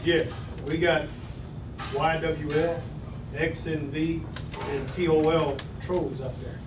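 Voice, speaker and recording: A man's voice: on the high side, little pitch movement, takes it slowly, music in the background. A man playing a dutiful, deferential henchman captain at a sci-fi script table read, matter-of-fact. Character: male henchman captain, dutiful, apologetic to his ruler. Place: busy restaurant dining room. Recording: distant mic, echoey noisy room.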